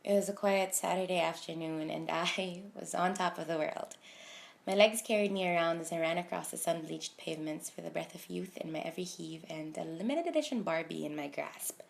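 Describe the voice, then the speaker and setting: A woman in her early twenties delivers slam poetry in a fairly low voice with a creaky, fry-laden edge. Her delivery is deliberate and emotive, setting off phrases with dramatic pauses and weighted emphasis. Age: early 20s. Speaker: slam poet. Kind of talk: slam poetry recitation, dramatic spoken word.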